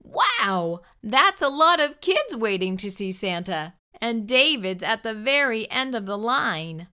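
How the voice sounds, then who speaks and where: Middle-aged woman, children's storytime host, highish bright voice, expressive read-aloud, exaggerated emphasis, lapel mic indoors. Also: takes it slowly, clean tone. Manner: expressive, asks the listener questions, exaggerated emphasis. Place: small furnished room indoors. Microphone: lapel microphone.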